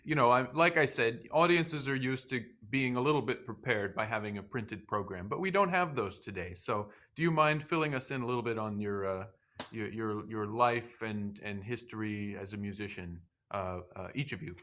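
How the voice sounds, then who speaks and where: Bright-voiced hesitant male host. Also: lowish voice, moderate pace. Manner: hesitant, many restarts, polite. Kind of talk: video-call interview. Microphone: video call.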